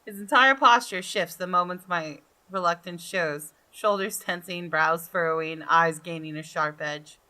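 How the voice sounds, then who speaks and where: A woman's voice: fairly low pitch, unhurried, hardly any pauses, sharp tone. A woman hosting a gaming video, reading visual novel narration expressively with casual commentary.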